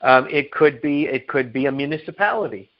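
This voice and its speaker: A man's voice: medium pitch, lively intonation, a little fast. A man giving an emphatic promotional sales presentation as a company executive, repeating phrases and answering his own rhetorical questions. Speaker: company chief executive pitching on a conference call. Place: conference call. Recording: dull, lo-fi conference call recording.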